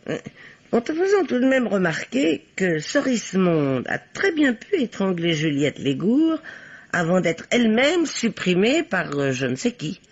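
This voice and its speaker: A woman playing a bossy, sharp older spinster amateur sleuth in a radio mystery, imperious and precise. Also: mid-range voice, big pitch swings, a little slow, husky, heavily acted. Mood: skeptical, composed. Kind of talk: vintage French radio mystery acting. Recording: dull, lo-fi old radio recording.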